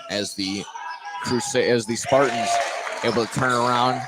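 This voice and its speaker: A man's voice: medium pitch, steady tone, moderate pace. A man calling live basketball play-by-play for radio, often repeating his phrases as he goes. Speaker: play-by-play sports announcer. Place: indoor high school gym. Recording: noisy gym, ball bounces behind. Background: gym noise and bouncing basketballs.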